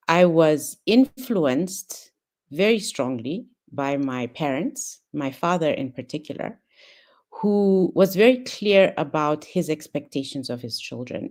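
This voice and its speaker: Low-voiced reflective woman from Ethiopia. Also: darkish tone. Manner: thoughtful, pausing with ums and restarts. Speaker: panel guest. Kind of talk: reflective personal story.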